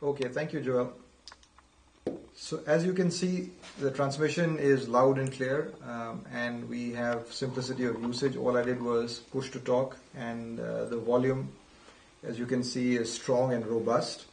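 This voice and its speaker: A man's voice: medium pitch, flat delivery, a little slow, creaky, darkish tone. A man giving an unscripted product demonstration as a company presenter, explaining things methodically. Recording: roomy, distant from the mic.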